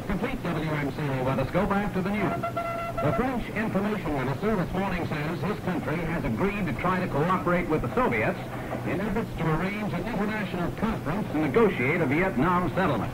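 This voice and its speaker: An American man reading scripted news as a 1960s radio newscaster. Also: a little high. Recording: scratchy, distorted 1960s radio recording.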